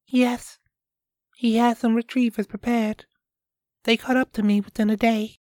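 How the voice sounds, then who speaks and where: A man voicing a frightened young girl who speaks softly and formally. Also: extremely high pitch, little pitch movement, a little slow, no echo, clean studio sound, pitched above the performer's own voice. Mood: anxious.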